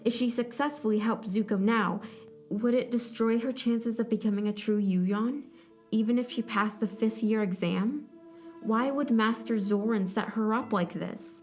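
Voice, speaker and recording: A woman's voice: medium pitch, a little mellow. A woman reading scripted story narration as the narrator of an audio drama.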